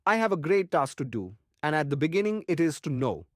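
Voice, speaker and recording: An actor playing a kindly, courtly old doctor in an audiobook, speaking formally and earnestly. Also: male voice, on the high side, big pitch swings, brisk, dry recording, studio quality. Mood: earnest request. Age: older. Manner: earnest, courtly, formal, quaint foreign phrasing. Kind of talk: audiobook character acting.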